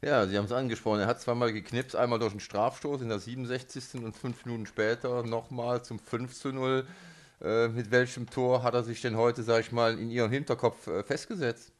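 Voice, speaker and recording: A man's voice: mid-range voice, fairly even intonation, a little fast. Male interviewer for local sports radio, mid-range voice, conversational question-and-answer delivery.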